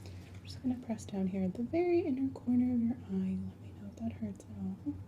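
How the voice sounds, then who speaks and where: A woman giving a soft-spoken, often whispered ASMR medical exam, gently talking through each step in the role of a clinician. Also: a little high, lively intonation, quick pace, highs cut off, noisy background. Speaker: ASMR host playing a clinical examiner.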